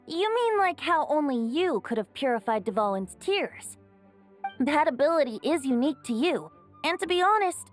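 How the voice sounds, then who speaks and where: Earnest young heroine, game role: high voice, very expressive, candid, light music behind. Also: female voice. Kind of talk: anime game voice acting.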